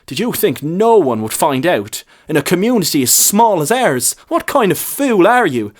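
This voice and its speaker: A man voicing a world-weary, stern parish priest in a story, with a measured, solemn, admonishing manner. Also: high voice, sing-song, pitched above the performer's own voice. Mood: harsh anger. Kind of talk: short story character reading.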